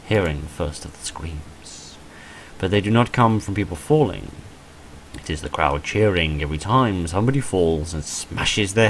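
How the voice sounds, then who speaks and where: A deep-voiced man narrates a visual novel playthrough. He reads the text like a dramatic story and drops in dry, offhand commentary. There is some faint noise behind his voice. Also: poor audio. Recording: noticeable background noise.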